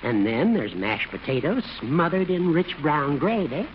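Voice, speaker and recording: Noisy muffled old radio, older judge, sly, needling, mock-polite, lilting widely in pitch. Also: male voice, sing-song. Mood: tempting. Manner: teasing, savouring, drawn-out mock politeness. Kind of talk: 1940s radio comedy acting.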